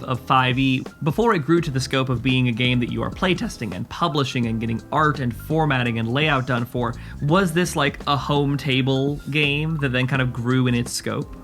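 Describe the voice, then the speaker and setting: An articulate woman hosts an interview show as its game master. Her voice sits fairly low for a woman, and her delivery is orderly and prepared, with faint music underneath. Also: normal speed, few pauses. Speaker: podcast host and game master. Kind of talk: scripted-sounding podcast hosting and interviewing.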